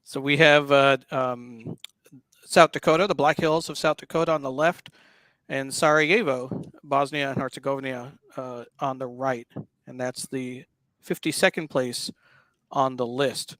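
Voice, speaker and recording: A man hosting a casual live talk show, explaining what he is showing in a halting, explanatory way. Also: mid-range voice, steady tone, a bit bright, no echo. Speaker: show host. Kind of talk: casual live talk walking through a newspaper.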